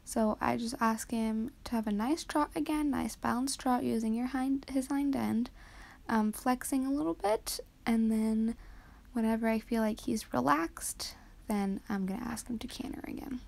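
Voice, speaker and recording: A teenage girl with a slightly high voice and plenty of gravelly vocal fry talks quietly over her own riding footage. She explains each move in a soft, hesitant way, often pausing on um. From Canada. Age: teens. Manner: soft, hesitant with frequent ums. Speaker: vlogger.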